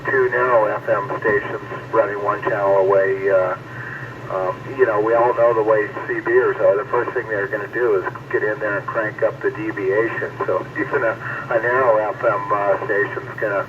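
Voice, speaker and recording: A man's voice: on the low side, steady tone, moderate pace, recorded from a distance. A man in casual technical chat over two-way radio, explaining things hesitantly as a hobbyist. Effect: two-way radio transmission. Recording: dull, noisy two-way radio transmission.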